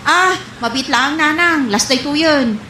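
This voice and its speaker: Very high-voiced flustered Ilocano wife, acted by a woman. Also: a little slow, sharp tone. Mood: worried. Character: flustered, evasive wife and dutiful daughter. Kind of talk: radio comedy drama acting. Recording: echoey, faint background noise.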